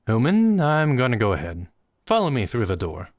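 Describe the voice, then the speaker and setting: A man voicing a small, gentle digital creature who speaks earnestly and coaxingly. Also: medium pitch, huge pitch swings, moderate pace, dry recording, voice pushed up.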